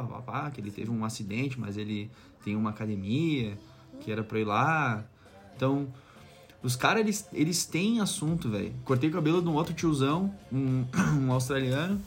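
A man from Brazil with a southern Brazilian accent, chatting casually and slangily, swearing now and then and acting out what people said. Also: medium pitch. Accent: southern Brazilian Portuguese. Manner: slangy, swearing, acts out quoted remarks. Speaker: barber living abroad as an immigrant. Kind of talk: casual conversational anecdotes.

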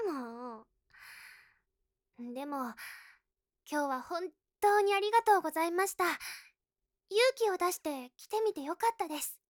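A woman's voice: very high voice, animated, measured pace, pauses often, no room sound, studio quality. A woman playing a shy, earnest, polite young customer in a Japanese voice drama, bashful and easily flustered. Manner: bashful, hesitant, cute. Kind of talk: Japanese situation voice drama acting.

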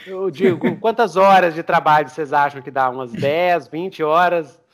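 A man hosting a casual podcast interview, talking in an informal, slangy and expressive way. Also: fairly high pitch, normal speed, crowd noise. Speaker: podcast host interviewing guests.

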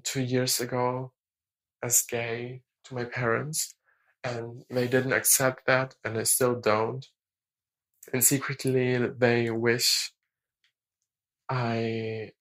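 A nineteen-year-old woman from Germany with a German accent, calling in for advice in a hesitant, halting, earnest way. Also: very deep voice, rough recording. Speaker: caller asking for advice. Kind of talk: personal voicemail-style account.

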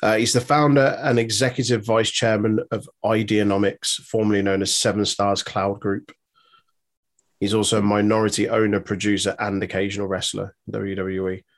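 A man's voice: on the low side, level tone, moderate pace. Laid-back man swearing casually. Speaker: podcast co-host. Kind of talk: casual chat, reading news.

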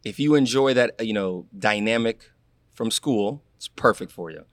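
A young man from Florida, a guest in a casual interview, telling animated stories and acting out what people said. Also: lowish voice, normal speed, no echo, clean studio sound. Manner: animated storyteller, acts out quotes, laughs. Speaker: guest, former college athlete.

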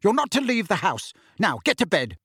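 A man's voice: sits high, wildly swooping pitch, unhurried, vocal fry, a bit bright, breathy edge, no room sound, clean studio sound, voice pushed up, exaggerated voice. A man voicing a middle-aged aunt in an audiobook, snappish and easily shaken. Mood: brisk, snappish. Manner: shaky when frightened, clipped when snappish.

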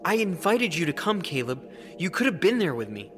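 A man's voice: sits high, very animated, a little fast. Young American male, anxious, sensitive student in love, naturalistic audio drama, scored with music. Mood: defensive, frustrated. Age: young adult.

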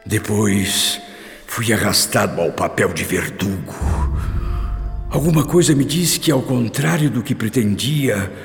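A man's voice: lowish voice, lively intonation, creaky. An actor playing an anguished, repentant middle-aged father with a Brazilian accent, in a solemn, pleading radio drama monologue. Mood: troubled, remorseful. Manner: slow, solemn, pleading delivery. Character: anguished repentant father, guilt-ridden former brute. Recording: long reverb with music behind. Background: music.

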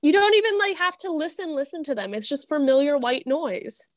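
A woman's voice: high-pitched, fairly quick, dry recording, clean studio sound. A woman chatting casually on a podcast panel in a playful, teasing way. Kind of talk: casual chat.

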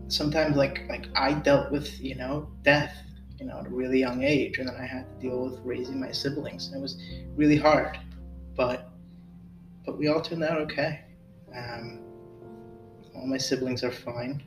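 A man in his twenties tells a personal story on a podcast, halting and full of fillers, in a flat, even voice. He sits back from the mic in a roomy space. Music plays clearly behind him. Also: medium pitch, far from the mic. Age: 20s. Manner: halting, many fillers and restarts. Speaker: podcast host. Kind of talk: personal storytelling and casual chat.

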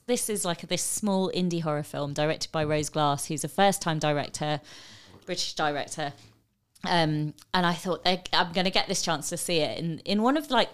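A woman's voice: on the low side, normal speed, clear, resonant tone. A woman co-hosting a podcast, chatting enthusiastically about a film, with lots of fillers and restarts. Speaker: podcast co-host. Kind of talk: casual film discussion.

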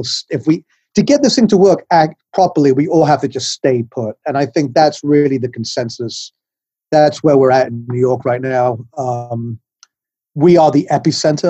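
A man's voice: on the high side, dark tone, no echo, muffled recording. A middle-aged man from England with an English accent, a food writer talking as a podcast guest in a rambling, self-deprecating way with many fillers. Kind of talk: remote podcast interview.

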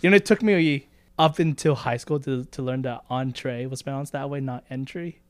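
A man's voice: medium pitch, moderate pace, clean tone, no echo. A young man co-hosting a podcast, chatting casually in a rambling, self-deprecating way. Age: young adult. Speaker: podcast co-host. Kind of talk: casual chat.

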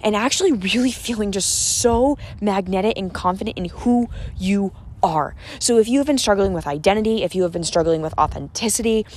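A woman's voice: medium pitch, a little fast, hardly any pauses, a little mellow. An American woman from the Boston area hosting a casual podcast on her own, talking in a gushing, emphatic, informal way like a motivational coach. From Boston area, eastern United States. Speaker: podcast host and confidence coach. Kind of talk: casual solo podcast monologue with motivational pep talk.

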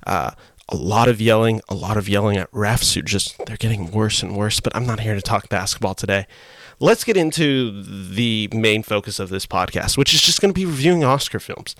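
A young man with a hoarse voice hosting a solo podcast, chatting casually and rambling with lots of fillers and self-corrections. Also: lowish voice, expressive, normal speed, fairly bright tone, no echo, clean studio sound. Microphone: desk mic with iPhone as webcam.

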